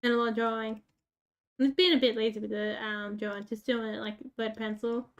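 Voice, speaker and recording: A woman's voice: fairly high pitch, little pitch movement, brisk. A woman with a rural Australian accent, chatting casually while playing a game, rambling with lots of fillers and joking about herself. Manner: rambling, filler-heavy, self-mocking. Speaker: gaming YouTuber. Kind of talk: casual gameplay chat.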